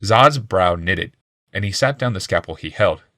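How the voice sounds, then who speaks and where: A man's voice: sits low, expressive, moderate pace, fairly bright tone, no echo, studio quality. A man reading a fantasy audiobook as a dramatic scripted narration.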